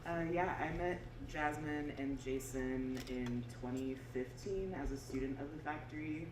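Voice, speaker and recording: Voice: mid-range voice, unhurried. A young adult in their twenties, a cinematographer talking earnestly and mocking themself a little. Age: 20s. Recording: echoey hall, noisy, far mic.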